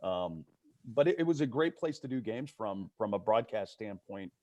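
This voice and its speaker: Low-voiced man, dry close sound. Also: expressive, normal speed, darkish tone, no room sound. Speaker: baseball play-by-play broadcaster as guest. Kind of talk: casual chat on a video call. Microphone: video call microphone.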